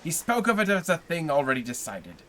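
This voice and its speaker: A man's voice: a little high, huge pitch swings, a little fast, sharp tone, slight background noise, voice pushed up, full character voice. Man doing blustering, envious Caderousse. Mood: indignant. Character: envious, gossipy male neighbor, blustering.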